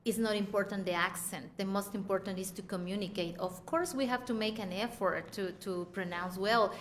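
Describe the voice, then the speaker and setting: A Mexican woman from Chiapas, an English teacher speaking at a panel, animated, often laughing and repeating words, with a Spanish accent. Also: mid-range voice, brisk, a little hiss or hum. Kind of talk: panel discussion.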